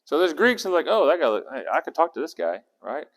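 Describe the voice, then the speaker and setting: A man's voice: fairly high pitch, very animated, fast. A man leading a Bible study, talking conversationally and putting questions to his group. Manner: conversational, asks the group questions, restarts words. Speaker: Bible teacher. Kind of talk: interactive Bible study teaching. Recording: long echo of a hall.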